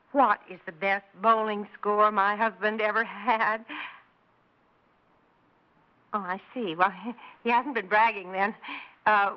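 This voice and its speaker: An actress playing a defensive, indignant suburban housewife in a 1960s radio drama, sharp-tongued and challenging. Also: female voice, medium pitch, natural voice. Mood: coy, probing. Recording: dull 1960s radio recording.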